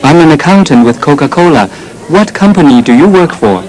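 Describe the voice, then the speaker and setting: A man's voice: fairly high pitch, animated, brisk, a little mellow, some chatter behind, close to an everyday voice, low-quality recording. A man playing a polite accountant. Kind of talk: scripted textbook dialogue.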